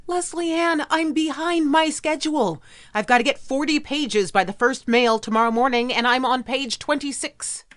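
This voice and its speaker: A woman's voice: sits high, moderate pace, a bit bright. An actress playing a harried, strict middle-aged mother who writes at home, in an audio play.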